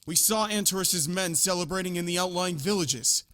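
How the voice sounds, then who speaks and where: An urgent young scout played by a man in a game fan dub. The voice is high-pitched for a male soldier. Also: moderate pace, a bit bright.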